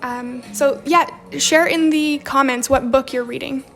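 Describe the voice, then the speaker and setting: A young woman with a faint Romanian accent hosts a relaxed livestream. She reads out viewer comments and replies in a chatty way, and her voice sits high with little rise and fall. Soft music plays quietly behind her. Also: a little slow. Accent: slight Romanian. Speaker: livestream host. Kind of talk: casual livestream chat while journaling. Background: faint background music.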